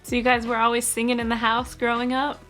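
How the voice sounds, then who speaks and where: A woman's voice: fairly high pitch, monotone, fast talker, a bit bright, faint music behind. A woman hosting an interview, enthusiastic and upbeat as she introduces and questions her guest. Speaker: interview host.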